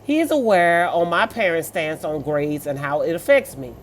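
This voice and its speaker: A woman's voice: sits low, lively intonation, normal speed, a little hiss or hum. A middle-aged woman, reading stories and reacting in a casual, sassy, motherly, colloquial way. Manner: motherly, sassy, colloquial, addressing listener as baby. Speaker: host of a story-reaction show.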